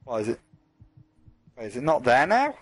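A man's voice: medium pitch, wildly swooping pitch, moderate pace, slightly rough, no room sound. A man hosting a let's play, rambling casually and swearing as he talks through the game and to his chat. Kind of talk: casual gameplay commentary.

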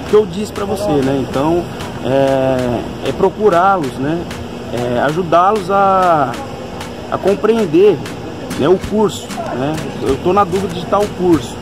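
Brazilian guy in his 30s stumbling thru answers, lots of ums, high pitch. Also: animated, measured pace, low-quality recording. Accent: Brazilian Portuguese. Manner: hesitant, many fillers. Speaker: event organizer. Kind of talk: interview answers. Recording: loud music behind.

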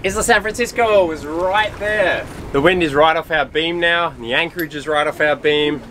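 A man's voice: fairly high pitch, normal speed, fairly bright tone, slight background noise. A man in his forties, a sailing dad, talking to an action camera out on deck at sea and explaining each step plainly. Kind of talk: casual vlog narration to camera. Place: outdoors on a sailboat at sea. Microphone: action camera at arm's length. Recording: music bed under voice.

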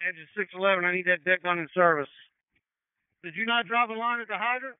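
Male battalion chief, high clear voice, directive and procedural, phone-like radio band. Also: fast talker, dry recording. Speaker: battalion chief, incident commander. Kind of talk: fire radio command traffic. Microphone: two-way emergency radio. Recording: tinny, narrow-band fire radio transmission.

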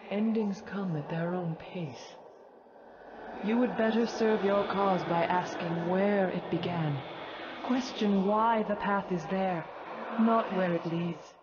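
An actress playing a mysterious female spirit seer in a video game, speaking in solemn, cryptic, halting phrases. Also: lowish voice, dull audio, background noise. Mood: weakened, solemn. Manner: slow, portentous, halting phrases.

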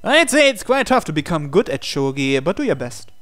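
A woman's voice: low voice, sing-song, a little fast, fairly bright tone, faint music behind. A woman reading translated visual novel text aloud, acting out the hero's lines in a conversational way. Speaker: game player reading the hero's dialogue aloud.

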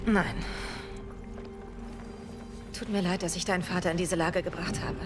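A woman's voice: on the low side, steady tone, normal speed, natural voice. Actress as a young woman soldier, earnest and blunt, game dub. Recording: game music behind.

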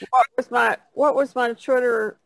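A woman talks casually as a podcast panelist, easygoing and informal. Her voice has a clear creak to it, and the sound is dry and close. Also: a little high, fairly even intonation, moderate pace, fairly bright tone, no echo, rough recording. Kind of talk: casual chat.

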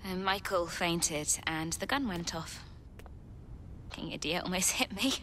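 A woman playing a bossy, distrustful actress in her thirties in a video game, sharp-tongued and exasperated. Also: lowish voice, animated, a little slow, a little hiss or hum. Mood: wary, dry. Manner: commanding, exasperated. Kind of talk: video game drama acting.

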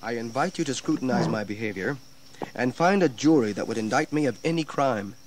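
An actor playing a proud, defensive colonial militia colonel, formal and protesting, in a historical audio drama. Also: male voice, medium pitch, expressive, a little fast, close to an everyday voice. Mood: stiff, formal. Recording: 1980s audio drama with background noise.

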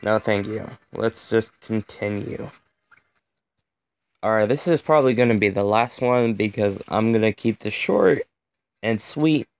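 A man's voice: lowish voice, unhurried, dry recording. Young man with a strained, fading voice. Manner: chatty, easily frustrated, talks to viewers. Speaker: gaming video host. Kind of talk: casual gameplay commentary.

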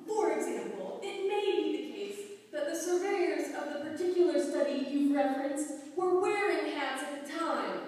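Woman acting a high, lilting, kindly hat seller. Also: lively intonation, moderate pace, hardly any pauses. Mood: reasoning. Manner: gentle, chuckling, quaintly formal. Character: kindly, polite old hat seller man puppet. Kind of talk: live puppet show dialogue. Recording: echoey hall, far from the mic.

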